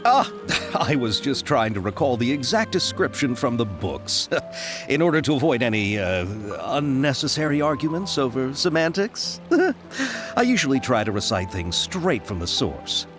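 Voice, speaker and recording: A man playing an earnest, excitable and courteous filmmaker in a video game, speaking in a wordy, bookish, theatrical way. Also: medium pitch, moderate pace, over music, close to an everyday voice. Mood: eager, bookish. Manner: polite, wordy, theatrical, hesitant when flustered. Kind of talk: animated game dialogue.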